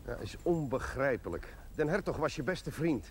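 An actor as a lively, lilting parish priest. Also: male voice, medium pitch, normal speed, close to an everyday voice. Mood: dry, amiable. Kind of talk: naturalistic 1970s television drama acting. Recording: noisy old television soundtrack.

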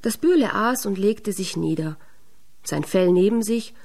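A woman's voice: mid-range voice, expressive, measured pace, darkish tone. A woman storyteller reading a scripted fairy tale narration.

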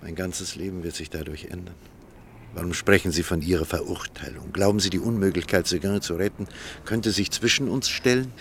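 An actor playing an earnest, conflicted doctor in love in a German radio drama, speaking in a formal, insistent manner. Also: male voice, low voice, brisk, fairly bright tone, slight background noise. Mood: earnest, probing.

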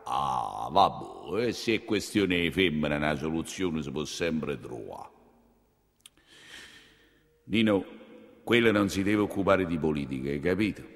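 Shrewd Roman cardinal, low, slow, insinuating menace, in a long reverb. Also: male voice, expressive, creaky, full character voice. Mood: stern warning. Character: shrewd, worldly 19th-century Roman cardinal, quietly menacing. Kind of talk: theatrical dialogue in dialect. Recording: long reverberant hall, faint music.

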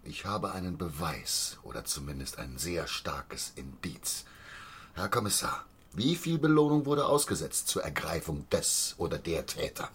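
Deep, insistent small-town butcher. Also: male voice, very animated, measured pace, a bit bright, slightly rough, heavily acted. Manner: insistent, conspiratorial, dwelling on details. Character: obsessive, gruesome small-town butcher spreading hateful rumours. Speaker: actor in a radio play. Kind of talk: radio drama acting.

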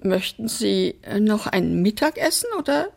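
Eccentric fussing mother, creaky, animated. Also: mid-range voice, normal speed, full character voice. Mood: proud, rambling. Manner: rambling, exclamatory, dramatic. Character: eccentric, chatty mother fussing over pet fish. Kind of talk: radio drama acting.

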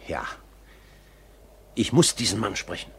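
Deep-voiced courteous gentleman. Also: lively intonation, measured pace. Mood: urgent, courteous. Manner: formal, probing, courteous. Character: earnest gentleman investigator, courteous and intent. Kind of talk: 1950s German radio drama acting. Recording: noisy 1950s radio drama recording.

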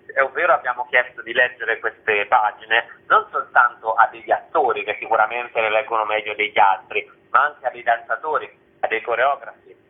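A man with a somewhat rough, husky voice talks as an interviewed festival organiser. He explains at length, adding asides as he goes. The sound is thin and boxed in, as over a telephone. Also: medium pitch. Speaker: theatre festival organiser, guest. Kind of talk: phone interview, spontaneous explaining. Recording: tinny narrow-band phone line.

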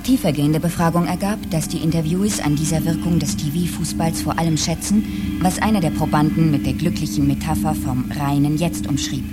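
A woman's voice: sits low, fairly quick, loud music behind the voice, close to an everyday voice. A woman playing a coolly analytical market researcher in a radio drama, reading findings formally and clinically. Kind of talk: scripted report reading in satirical radio drama.

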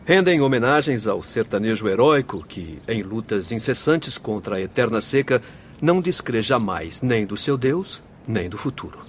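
A man's voice: medium pitch, lively intonation, normal speed, a little hiss or hum, lightly acted. A Brazilian man playing an earnest, erudite spirit doctor in a radio drama, with formal, eloquent and solemn delivery.

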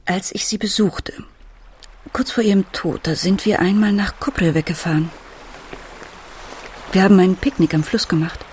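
A woman's voice: mid-range voice, fairly even intonation, moderate pace, pauses often, a little mellow, slight background noise, close to an everyday voice. A middle-aged woman playing an anxious, tender mother, speaking in a subdued, thoughtful way in a radio drama. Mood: quiet remembering. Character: anxious, tender mother of an ailing teenage girl. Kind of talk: radio drama dialogue and narration.

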